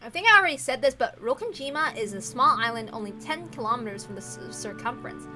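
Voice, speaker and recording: A woman reading a visual novel aloud as expressive scripted narration. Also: on the high side, fast talker. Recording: music playing behind the voice. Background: background music.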